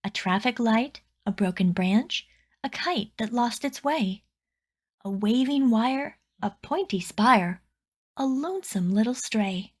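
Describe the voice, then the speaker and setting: Female children's narrator, higher register, very unhurried, expressive and carefully phrased read-aloud of rhyming verse.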